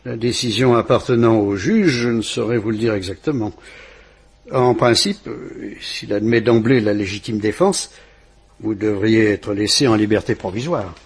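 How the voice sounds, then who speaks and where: Soft-spoken inspector, gravelly husky voice, steady and reassuring. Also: male voice, lowish voice. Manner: measured, reassuring, polite. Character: calm, courteous police inspector. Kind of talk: vintage French radio drama. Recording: old radio play recording.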